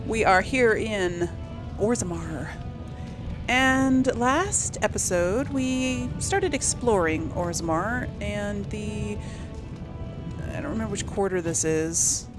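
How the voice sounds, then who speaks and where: Woman, casual gameplay commentary, thinks aloud, mid pitch, game music behind. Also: lively intonation, slow. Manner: chatty, thinks aloud, voices the player character. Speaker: gameplay host.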